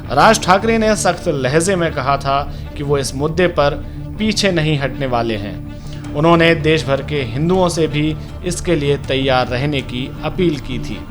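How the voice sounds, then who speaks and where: A man reading a scripted news report as a narrator. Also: a little high.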